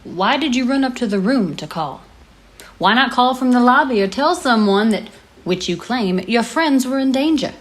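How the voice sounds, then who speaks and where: A woman acting a high-voiced, probing radio host. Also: expressive, normal speed, natural voice. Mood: sceptical. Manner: pointed, accusatory, articulate presenter delivery. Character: probing, sceptical female radio show host. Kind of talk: audio drama acting as live radio interview.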